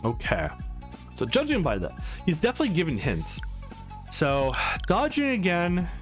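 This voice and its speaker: A man's voice: sits high, wildly swooping pitch, moderate pace, soft background music. A man hosting a let's play, chatting casually as he comments on a game. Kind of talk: casual game commentary, reading dialogue aloud.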